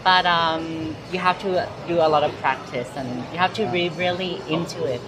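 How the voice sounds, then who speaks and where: A Thai woman in her thirties speaks in a low register, chatting easily and restarting her thoughts as she goes. A clip-on mic picks her up in a big indoor mall hall. Clear public-space noise hums behind her. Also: steady tone. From Thailand. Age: 30s. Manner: chatty, halting, many fillers and restarts. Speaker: interviewee. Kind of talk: casual street interview. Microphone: clip-on lapel mic.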